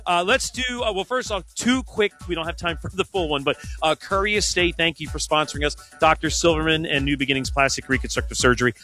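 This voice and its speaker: A man hosts a morning radio show with excitable, casual banter. His voice sits high and swings widely in pitch, with a bright edge and faint music underneath. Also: fast talker, few pauses. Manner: excitable, animated chatter.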